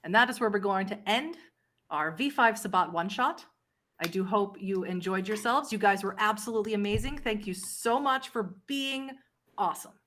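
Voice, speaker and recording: A woman's voice: mid-range voice, normal speed, fairly bright tone. Woman game master telling the story. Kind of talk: narrating a tabletop roleplay game.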